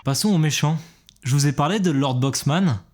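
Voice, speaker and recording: Higher-voiced young man, casual commentary. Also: normal speed, heavy background noise. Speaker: co-presenter of a cartoon review show. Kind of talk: scripted commentary with casual asides.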